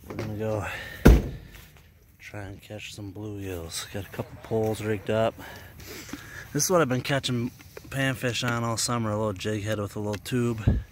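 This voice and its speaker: A man's voice: fairly low pitch, fairly even intonation, moderate pace, long pauses, a little hiss or hum. A man in his thirties casually narrating a fishing trip, folksy and chatty, out on a lake in a kayak. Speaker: fishing vlogger. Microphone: action camera.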